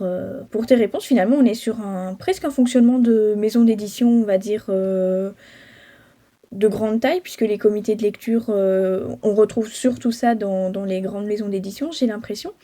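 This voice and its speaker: A woman's voice: medium pitch, dark tone. A woman from Belgium hosting an interview, asking questions in a friendly way with fillers. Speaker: interviewer host. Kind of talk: casual interview.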